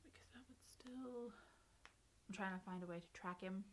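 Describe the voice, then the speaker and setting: A woman speaks casually as a player in a tabletop game. Her voice sits at a middle pitch, with faint noise in the background. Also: moderate pace.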